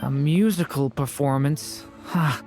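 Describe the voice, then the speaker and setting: A woman's voice: low-pitched, a little slow, darkish tone, faint music behind. A woman voicing a polite, earnest young adventurer in a video game, speaking tentatively. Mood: hesitant, earnest.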